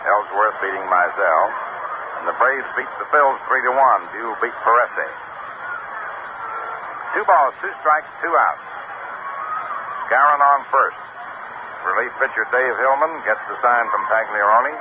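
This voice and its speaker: An American man calling baseball play-by-play on 1960s radio in short, clipped phrases with pauses between pitches. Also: animated. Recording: noisy vintage AM radio broadcast, narrow tinny band.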